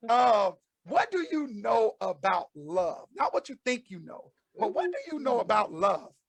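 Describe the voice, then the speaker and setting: A man's voice: high voice, big pitch swings, a little slow, vocal fry, husky. A man hosting a casual group chat on a spoken-word show, preachy and teasing by turns. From St. Louis. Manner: preachy and teasing, calls people out with jokes. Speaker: host.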